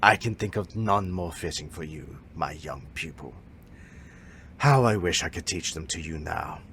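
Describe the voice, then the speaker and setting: A man playing an elderly martial arts master in an audio drama, formal and measured, musing as he speaks. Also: very deep voice, expressive, gravelly, a bit bright, slight background noise, exaggerated voice. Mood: musing, approving.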